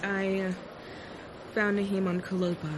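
A woman's voice: mid-range voice, level tone, moderate pace, background noise, close to an everyday voice. An actress playing an earnest, anxious young alien woman in a science fiction audio drama, with a hesitant manner. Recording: loud music under the voice.

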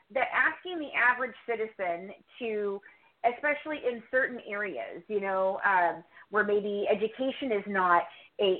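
A woman podcaster answering interview questions in a talkative, opinionated way, circling back to repeat her points. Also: fairly high pitch. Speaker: true crime podcast co-host, interview guest. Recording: thin, phone-like line.

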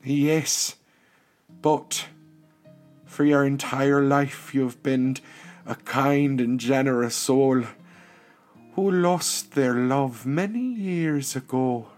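Benevolent female death deity male performer, raised pitch, measured and tender, storybook fantasy over music. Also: darkish tone, natural voice. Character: kindly, solemn female goddess of death. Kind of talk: storybook character acting.

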